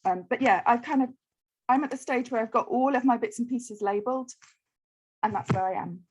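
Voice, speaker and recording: A woman's voice: fairly high pitch, a little fast, a little mellow, slightly rough, low-quality recording. Hesitant woman on a video call. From United Kingdom. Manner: hesitant, apologetic, lots of ums. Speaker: quilt-along participant. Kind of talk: casual chat on a video call.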